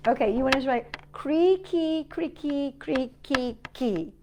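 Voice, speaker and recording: A woman's voice: high-pitched, measured pace, a little hiss or hum. A woman, a native English speaker, hosting a chatty, playful storytime for young children, often asking little questions of her listeners. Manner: playful, talks to companion and young viewers with tag questions.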